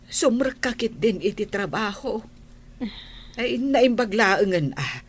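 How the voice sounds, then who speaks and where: A woman acting a concerned, pleading middle-aged mother with a husky voice in an Ilocano radio drama. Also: lowish voice, a little hiss or hum.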